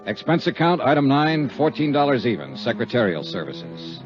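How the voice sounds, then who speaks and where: A man's voice: medium pitch, very animated, moderate pace, lightly acted. An actor playing a tough, dry male insurance investigator in a 1950s radio detective drama, both narrating and in dialogue. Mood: matter-of-fact narration. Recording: dull 1950s radio recording, music behind.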